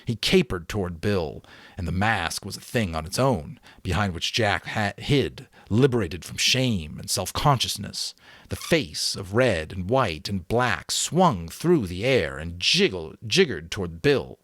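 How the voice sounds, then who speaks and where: A man doing expressive, dramatic audiobook narration, sometimes stumbling on a word and correcting himself. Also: low voice, a little slow, no echo. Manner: expressive, with small slips he corrects aloud. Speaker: amateur audiobook reader.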